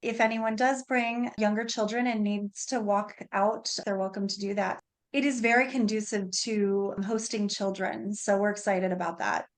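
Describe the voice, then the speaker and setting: A woman's voice: medium pitch, fairly even intonation, normal speed. A woman speaking as a guest in a radio interview conversation.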